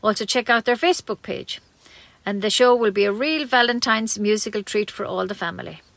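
Irish woman reading local radio news, really bright forward voice, close dry sound. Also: mid-range voice, fairly quick, gravelly, no echo. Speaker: community radio news reader.

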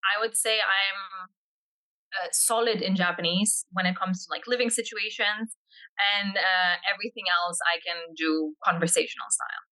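A woman's voice: mid-range voice, steady tone, normal speed, sharp tone, low-quality recording. A young woman from Poland whose first language is Polish, answering interview questions thoughtfully with frequent fillers. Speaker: interview guest.

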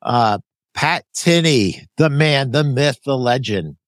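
A man's voice: mid-range voice, lively intonation, slow pace, no echo. A Canadian man in his sixties hosting an interview podcast, reading from his own book with loose, folksy asides and self-corrections. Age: 60s. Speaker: podcast host.

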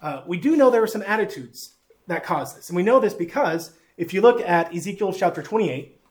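A Southern man preaching from the Bible and pointing at a map as he teaches. His voice sits high for a man. He is folksy and pokes fun at himself, and he leaves plenty of uh's along the way. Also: lively intonation, brisk. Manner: folksy, self-deprecating, with frequent uh fillers. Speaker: pastor.

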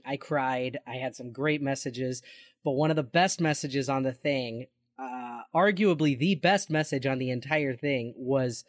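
A forty-year-old man chatting casually as a podcast co-host, often pausing and repeating words as he goes. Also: medium pitch, no room sound, clean studio sound. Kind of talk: casual chat.

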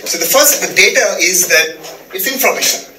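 Bright-voiced man from eastern India, echoey room. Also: high voice, lively intonation, a little fast, distant mic, a little hiss or hum. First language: Bengali. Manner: explains with many "you know" and "okay?" check-ins. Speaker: guest data scientist. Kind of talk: casual podcast chat. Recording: roomy sound, a little off mic.

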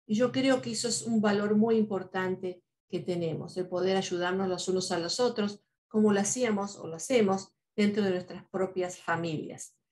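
A woman's voice: medium pitch, steady tone, normal speed, darkish tone. A woman whose first language is Spanish, speaking earnestly in a reflective podcast conversation, often adding "¿no?" and "eh". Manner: earnest, speaks with many "¿no?" tags and "eh" fillers.

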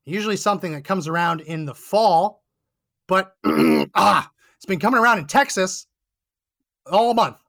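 Male podcast host, hoarse and fading voice, high-pitched, emphatic indignant monologue. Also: normal speed, pushed and bright. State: hoarse, losing his voice. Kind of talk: political commentary monologue. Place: Texas.

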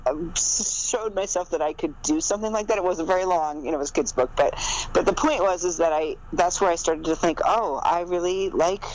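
A woman's voice: lowish voice, fairly quick, darkish tone. Chatty American woman author, noisy recording. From American Midwest. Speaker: author being interviewed. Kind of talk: casual conversational storytelling. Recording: noticeable background noise.

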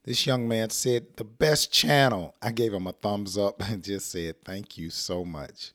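A middle-aged American man with a hoarse, rough throat, teaching an English lesson in a casual, playful way and acting out example sentences. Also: fairly low pitch, measured pace, fairly bright tone, dry recording, clean studio sound.

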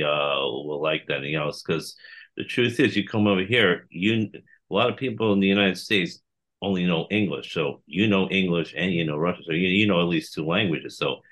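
A man's voice: sits low, fairly bright tone. A man hosting an interview, affirming his guest in a loose, rambling way with many fillers. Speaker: interview host. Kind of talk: casual interview chat.

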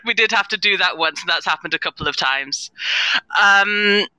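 A woman's voice: medium pitch, normal speed, bright, forceful tone, clean tone, no echo, rough recording. A woman with a British accent, speaking conversationally as a guest on a podcast panel. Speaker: podcast panellist, audio drama performer. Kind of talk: panel discussion.

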